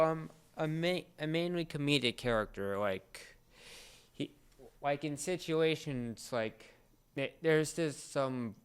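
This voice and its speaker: A man co-hosting a casual talk, explaining things in a halting way with repeated and restarted phrases. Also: mid-range voice, fairly bright tone, clean tone. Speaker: podcast co-host explaining a series.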